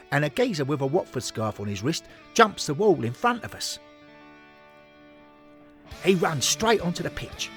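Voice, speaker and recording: Brash London football hooligan, wildly animated. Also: male voice, a little high. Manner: brash, slangy, swaggering. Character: rowdy, cocky old-school male football hooligan, former chief steward.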